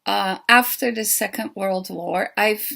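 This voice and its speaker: An older woman with a Dutch accent, a children's book author giving an earnest, unhurried pre-recorded talk. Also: mid-range voice, gravelly, bright, forceful tone. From Netherlands.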